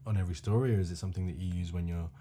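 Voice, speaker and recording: Very deep, dark, husky male host. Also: steady tone, rapid-fire, slight background noise. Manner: loose, chatty, swears casually. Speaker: podcast host. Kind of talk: casual podcast chat.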